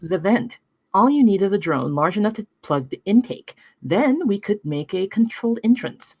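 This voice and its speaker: Woman reading as husky, clever young tech girl. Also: medium pitch, animated, moderate pace, a little mellow, no room sound. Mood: blunt, analytical. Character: clever, blunt young female tech whiz. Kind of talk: table-read acting.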